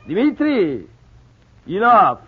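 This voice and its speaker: An actor playing a courteous Russian restaurant owner with halting, formal English and a Russian accent, in 1940s radio style. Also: male voice, high-pitched, huge pitch swings, a little hiss or hum, exaggerated voice. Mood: obliging. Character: slow, courteous Russian immigrant restaurant owner, muddled with figures. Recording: muffled, thin 1940s radio broadcast.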